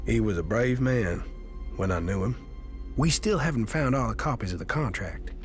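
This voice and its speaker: Fatalistic ex-outlaw for a game male actor; plain-spoken, halting, mid-range voice over a music bed. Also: medium pitch, lively intonation, close to an everyday voice. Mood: grim. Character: wary former gang outlaw, troubled and fatalistic. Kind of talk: video game character dialogue.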